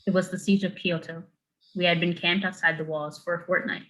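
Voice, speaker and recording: Woman as a brisk, forceful female warrior. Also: lowish voice, steady tone, close to an everyday voice, poor audio. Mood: curt, steady. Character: composed, terse female warrior in lord's service. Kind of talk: dramatic script reading.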